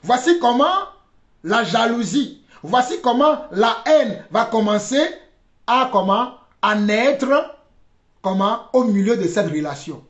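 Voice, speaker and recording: Very high-pitched man, echoey commentary. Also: very animated. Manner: deliberate, halting, repeats phrases for emphasis. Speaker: religious commentator. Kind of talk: spoken commentary with preaching. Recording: dull, roomy, echoing recording.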